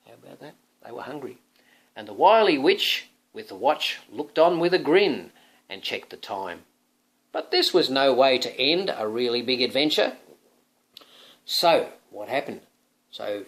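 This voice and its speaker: Man, animated storybook reader, dramatic and playful, widely varied pitch, question-filled asides to a child. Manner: dramatic, playful, often asking the listener questions. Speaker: father reading a picture book to his young son.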